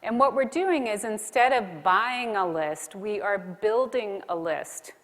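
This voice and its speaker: A woman giving a keynote presentation to a conference room, explaining things deliberately with emphatic pauses. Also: medium pitch, animated. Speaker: keynote speaker. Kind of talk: conference presentation on marketing to a live audience. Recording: reverberant hall sound.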